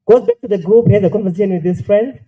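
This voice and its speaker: A man's voice: sits high, fast talker, phone-quality sound. A man with a Zimbabwean accent speaking as master of ceremonies, telling stories informally and playfully to a formal gathering. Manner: playful, animated storytelling. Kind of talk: informal public speaking, humorous anecdote. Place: hotel function room at a formal event.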